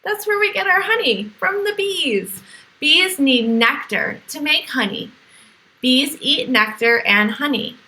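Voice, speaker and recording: A woman reading aloud as a teacher to young children, with animated exclamations, questions and explanatory asides. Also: high voice, a little slow, low-quality recording. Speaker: teacher reading to a virtual class.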